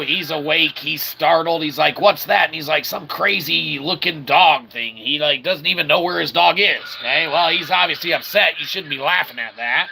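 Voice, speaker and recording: A man's voice: a little high, vocal fry, pushed and bright, slight background noise. A man hosting a casual, rambling game livestream in a jokey, mock-earnest showman manner. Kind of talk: casual rambling let's-play commentary.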